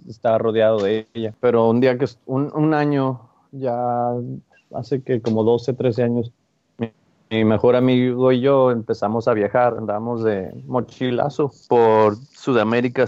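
A man's voice: mid-range voice, a little mellow, no room sound. A man in his thirties with a northern Mexican accent, talking casually as an interview guest in an informal, wandering way. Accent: northern Mexican Spanish. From Ciudad Juárez, Mexico, and El Paso from age twelve. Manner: informal, digressive, often tags phrases with a checking "¿no?". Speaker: guest, printmaker artist. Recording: slightly dull, lo-fi, dry sound.

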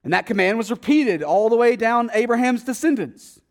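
High-voiced pastor preaching conversationally. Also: male voice, expressive, moderate pace. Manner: conversational, asks the congregation rhetorical questions; dramatic swings in loudness and pitch for emphasis. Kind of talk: sermon.

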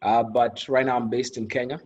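A man's voice: medium pitch, little pitch movement, a little fast, breathy edge, highs cut off. A man from Rwanda, a podcast guest, introducing himself in a friendly, hesitant way.

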